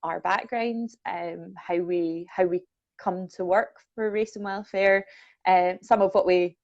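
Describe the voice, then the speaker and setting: A woman's voice: on the low side, vocal fry, a little mellow, no echo. A young Scottish woman from the Borders, a welfare manager chatting casually and self-deprecatingly with lots of ums. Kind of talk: casual chat. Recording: dull lo-fi sound.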